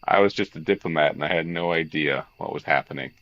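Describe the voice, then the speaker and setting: A man hosting a visual novel read-along, moving between narration and jokey, excitable, sweary commentary. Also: extremely low pitch, fast talker, muffled recording. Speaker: streamer, host of a game read-along.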